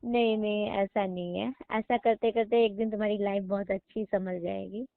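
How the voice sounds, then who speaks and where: An actress as a flirty woman customer in a casual phone role-play. She coaxes and persuades at an ordinary mid pitch. The sound is muffled and dry, like a recorded call. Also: fairly even intonation, moderate pace, vocal fry, clear, resonant tone, no echo, lightly acted. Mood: flattering, reassuring. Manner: coaxing, persuasive. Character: coaxing, flirty woman customer phoning a henna artist. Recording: dull, lo-fi phone-call audio.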